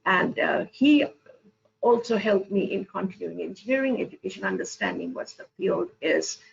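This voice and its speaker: A woman moderates an online panel over a video call. She speaks haltingly with many fillers, in a very gravelly, mid-pitched voice. The call audio is muffled and dull. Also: lively intonation.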